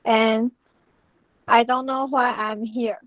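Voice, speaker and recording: A woman's voice: a little high, flat delivery, slow pace. A woman from Taiwan introducing herself in English, giggling and flustered. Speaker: meeting participant. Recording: tinny dry phone-like line.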